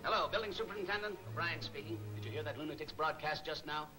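An actor playing a decisive police chief giving orders in a 1940s film serial. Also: male voice, on the high side, fast talker, bright, forceful tone, low-quality recording. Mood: firm. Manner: firm and commanding. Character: firm, decisive police chief, middle-aged man. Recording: 1940s film soundtrack with music.

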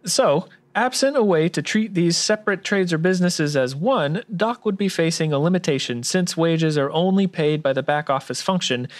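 A man's voice: fairly high pitch, animated, moderate pace, few pauses, natural voice. A man playing an obliging tax explainer sidekick, giving orderly scripted explanations in a radio drama. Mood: helpful. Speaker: tax expert co-host.

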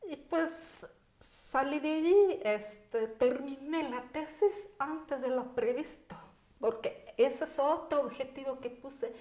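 A woman's voice: sits high, a little mellow. A woman in her thirties with a Mexican Spanish accent, telling personal stories emphatically as a podcast guest. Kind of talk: conversational interview, personal storytelling. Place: indoor dining room. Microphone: podcast microphone on table stand. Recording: echoey room.

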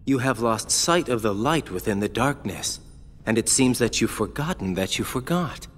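A man's voice: medium pitch, animated, normal speed. A man voicing a smug, cryptic villain who taunts and speaks in riddles. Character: smug, cryptic adult man of a dark order. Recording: loud game music behind.

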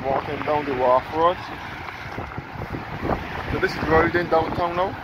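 A man's voice: a little high, unhurried. A man giving a rambling walking-tour commentary outdoors by a harbour, often correcting himself. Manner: rambling, self-correcting guide. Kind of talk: casual walking-tour narration. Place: outdoors on a sunny harbour boardwalk. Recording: windy outdoor recording, muffled.

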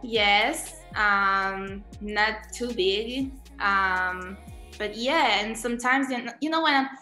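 A young woman with an Israeli accent talks slowly and gently, pausing often with soft ums. Her voice comes through a laptop mic in an echoey living room, with faint music underneath. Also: mid-range voice. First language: Hebrew. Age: young adult. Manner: soft-spoken, with frequent ums and laughs. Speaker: guest singer. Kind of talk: casual interview answers over video call.

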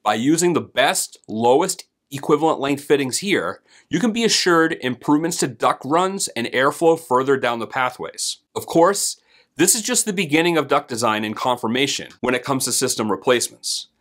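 A man in his thirties hosting a technical training video, speaking in a scripted, deliberate way straight to camera from a home office. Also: medium pitch, expressive, fairly bright tone. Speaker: host and HVAC trainer. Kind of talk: scripted instructional explainer.

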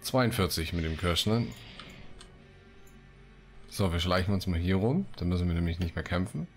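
A man with a deep voice gives relaxed, informal let's play commentary as host. He talks close to the microphone, with game music faintly behind him. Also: normal speed. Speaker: gaming video host. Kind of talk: casual let's play commentary, reading quests aloud.

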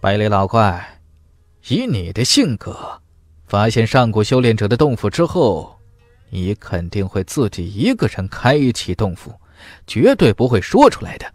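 Man acting gruff old martial villain, sneering, suspicious, animated pitch, deliberate pace, fantasy audiobook. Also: no echo. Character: menacing, suspicious, greedy old male cultivator.

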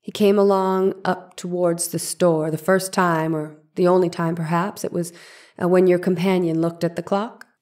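A methodical, formal courtroom lawyer from an 1890s trial drama, played by a woman. The voice sits on the low side and presses each question with careful, exacting persistence. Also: level tone, normal speed, a little mellow, close to an everyday voice. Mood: insistent, probing. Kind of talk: dramatic reading of a trial transcript.

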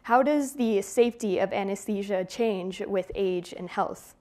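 A young woman in her twenties presents her research from a lecture hall podium. She speaks in a clear, measured lecturing manner into a podium microphone, with a mid-range voice. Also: mid-range voice, a little mellow. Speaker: PhD student presenting research. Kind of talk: conference talk.

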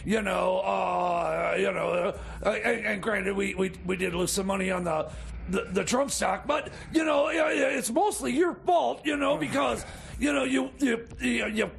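A man playing an excitable, bumbling hillbilly mechanic in radio comedy, stammering and rambling in a strained voice. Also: a little slow, slight background noise, exaggerated voice. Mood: defensive, blaming. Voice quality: high, strained, creaky. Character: excitable bumbling hillbilly time machine mechanic and moonshine schemer. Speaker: radio show sidekick.

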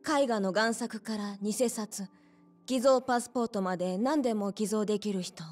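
A woman playing a gentle, earnest young hostess in a Japanese game cutscene, speaking politely and softly. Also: medium pitch, moderate pace, faint music behind, close to an everyday voice. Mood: pleading, serious.

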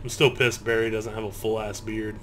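30 yr old dude doing gameplay commentary, cussing and complaining, game noise in back. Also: male voice, lowish voice, flat delivery, fairly quick, a bit bright. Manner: sweary, griping, self-deprecating. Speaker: gamer, video host. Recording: clear game ambience noise behind. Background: horror video game sound.

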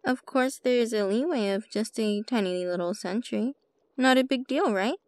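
A woman playing a sly, playful witch shopkeeper with a soft heart in an ASMR roleplay, coy and teasing as she addresses the listener. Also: fairly high pitch, normal speed, no room sound, natural voice. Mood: playful, coaxing. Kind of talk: ASMR roleplay, scripted character monologue.

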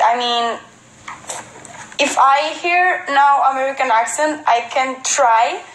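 A Spanish woman in her twenties chatting casually on a phone livestream, answering viewers in Spanish and halting English with a Spanish accent. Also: high-pitched, fairly bright tone, reverberant, a little hiss or hum, poor audio. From Spain. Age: 20s. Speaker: model on a livestream. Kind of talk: casual livestream chat reading comments. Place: indoor room at home. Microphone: phone held at arm's length.

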